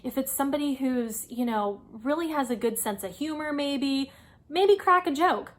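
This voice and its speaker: High-voiced woman giving friendly advice. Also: lively intonation, normal speed. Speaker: host giving career advice. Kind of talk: informal instructional video talk.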